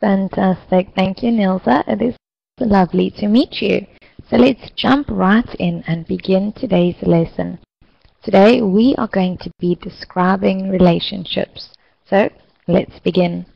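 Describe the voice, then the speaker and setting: A woman facilitating an online English lesson, speaking slowly and clearly to encourage her students. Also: medium pitch, no room sound, muffled recording. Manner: slow, clear, encouraging teacher talk. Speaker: English teacher hosting an online class.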